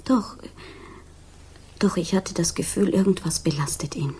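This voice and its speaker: An actress playing a grief-stricken, loyal young fiancée, soft, breathy and subdued, in a 1960s German radio crime drama. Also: female voice, on the low side, measured pace, natural voice. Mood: troubled, subdued. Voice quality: soft, breathy, often near whisper. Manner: hesitant, subdued.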